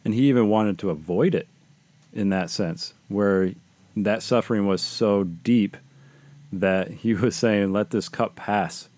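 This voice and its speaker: A man's voice: on the low side, a little slow, darkish tone. A man co-hosting a podcast discussion about faith in a conversational style. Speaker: podcast co-host.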